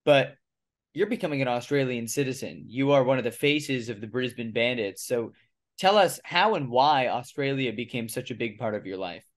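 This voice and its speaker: A man hosts a sports podcast in a conversational interviewing style. His voice sits at a middle pitch, and he sets up his questions like a broadcaster. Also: animated, moderate pace.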